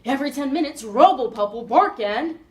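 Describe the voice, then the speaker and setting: A woman acting as a cheery store employee making bright, salesy announcements to shoppers. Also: high voice, expressive, a little fast. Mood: chirpy. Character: chirpy toy-store employee with a megaphone voice.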